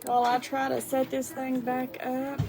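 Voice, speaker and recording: A woman's voice: sits high, monotone, moderate pace, music in the background. A woman hosting a live radio show, friendly and rambling with many fillers, in a radio studio. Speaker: radio host.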